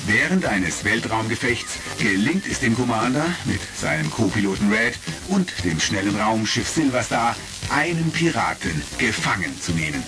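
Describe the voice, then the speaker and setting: A low male voice narrates an old-style sci-fi radio play. His scripted delivery moves animatedly in pitch, over clearly audible music. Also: big pitch swings, moderate pace.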